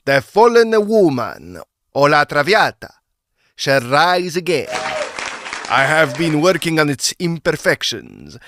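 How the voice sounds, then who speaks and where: An actor playing a passionate, grand opera composer, speaking theatrically in a period audio drama. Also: male voice, a little high, a bit bright, dry recording, studio quality. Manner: theatrical, deliberate, declamatory.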